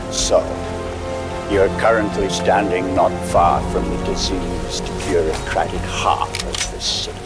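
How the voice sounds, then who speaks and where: An actor playing an urbane older mastermind in a video game, measured, erudite and sardonic as he guides the player. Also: sits low. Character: urbane older male mastermind, wry and condescending. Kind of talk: guiding monologue in a video game.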